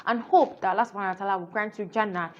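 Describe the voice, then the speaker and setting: A woman giving a casual spoken book review, earnest and explanatory, often filling pauses with "you know". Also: medium pitch, dull audio.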